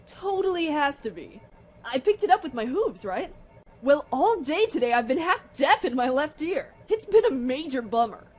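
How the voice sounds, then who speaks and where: A woman's voice: sits high, big pitch swings, normal speed. A woman in a radio play voicing a laid-back, slangy female pony DJ who is a loyal friend. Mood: casual storytelling. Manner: slacker slang, easygoing.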